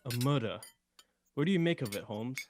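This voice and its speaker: Male actor as gentleman sidekick, earnest, fussy, proper, lively intonation, slight background noise, radio drama. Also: mid-range voice, a little fast, lightly acted. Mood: shocked, curious. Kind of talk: radio play acting.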